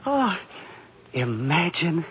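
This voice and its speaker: An actor playing a flustered, excitable, deferential store manager with a fussy, stammering manner in 1940s radio style. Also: male voice, fairly high pitch, very slow, heavily acted. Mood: excited, panicked. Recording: muffled, hissy 1940s radio broadcast.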